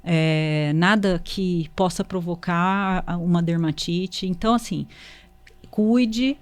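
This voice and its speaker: Brazilian woman doctor guest, lowish voice, very dry sound, explaining stuff and giving advice conversationally. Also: slow pace, dry recording. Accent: Brazilian Portuguese. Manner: instructive, often checks in with short tag questions. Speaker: guest, dermatologist.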